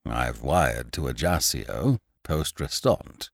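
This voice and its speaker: Man doing a very deep, creaky, gloomy old gentleman. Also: sing-song, slow pace, no echo, studio quality, heavily acted. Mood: gloomy, worried. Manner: moaning, ponderous. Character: gloomy, slow, worried elderly English gentleman.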